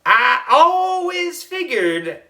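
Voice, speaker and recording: A man voicing a folksy old groundskeeper in a storybook, with a slow rural American drawl. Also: very high voice, big pitch swings, sharp tone, pitched above the performer's own voice, exaggerated voice. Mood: folksy, marveling. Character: old drawling folksy male groundskeeper, rustic gold hunter.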